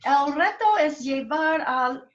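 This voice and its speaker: A woman language student reading a text aloud slowly and carefully on a video call. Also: sits high, animated, muffled recording.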